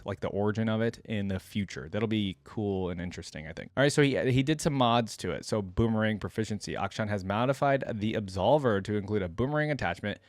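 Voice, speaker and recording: A man's voice: fairly low pitch, no echo. A man giving casual reaction commentary, reading lore aloud and breaking into informal asides. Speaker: reaction video host.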